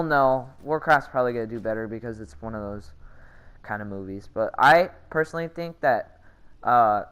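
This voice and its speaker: A man's voice: mid-range voice, clear, resonant tone. A man giving casual, rambling commentary as a gaming vlogger, often hesitating and correcting himself in slangy speech.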